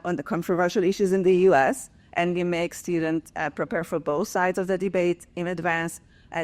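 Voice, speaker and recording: Woman, 40s, Israeli accent, professor on a panel; earnest, halting, lower register. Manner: earnest, frequent fillers and restarts. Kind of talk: conference panel talk.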